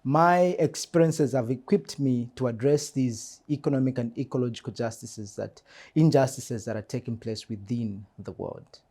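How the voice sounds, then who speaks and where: A man with a Zambian accent giving earnest interview answers as a church mission secretary, measured and emphatic, repeating phrases for emphasis. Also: mid-range voice, warm tone.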